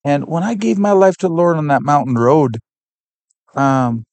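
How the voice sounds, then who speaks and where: A man's voice: a little high, expressive, darkish tone, dry recording. Earnest man, dry close sound. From Canada. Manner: earnest, heartfelt, pauses to search for words. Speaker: podcast guest, missionary. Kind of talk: personal faith testimony.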